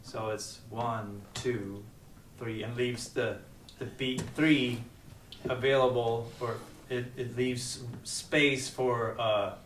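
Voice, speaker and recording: A man's voice: medium pitch, fairly even intonation, takes it slowly, fairly bright tone. Distant man explaining music. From Sweden. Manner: patient, explaining with counting. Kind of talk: casual stage banter at a concert. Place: living room at a house concert. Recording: roomy, distant, faint noise.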